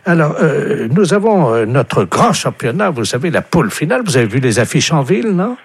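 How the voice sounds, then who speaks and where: A man's voice: on the high side, big pitch swings, brisk, slightly rough. A male radio prankster who makes a prank phone call, speaking in a mock-official tone with earnest absurdity.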